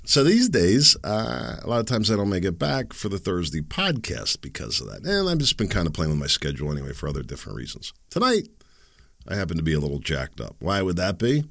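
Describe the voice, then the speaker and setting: Deep-voiced man in his fifties, dry booth sound, loose sarcastic solo podcaster. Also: huge pitch swings, brisk, gravelly, fairly bright tone, dry recording. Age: 50s. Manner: rambling, sarcastic, self-deprecating asides. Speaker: podcast host. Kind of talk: casual solo podcast monologue.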